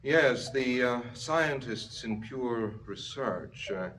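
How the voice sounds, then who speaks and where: A man's voice: mid-range voice, expressive, slow, fairly bright tone. Slightly distant with faint noise, an officious corporate board member. He is formal, evasive and hesitant, in seventies radio drama style. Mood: uneasy, evasive. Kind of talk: 1970s stereo radio drama acting.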